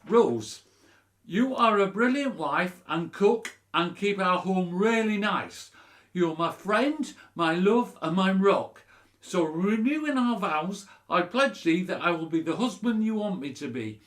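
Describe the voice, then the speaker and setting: A man's voice: sits high, expressive, a little slow, a bit bright. A male actor in his forties playing a flustered, defensive middle-aged husband in a radio comedy, hesitant and easily put out. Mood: earnest. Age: 40s. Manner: hesitant, indignant when challenged. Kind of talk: radio play comedy acting.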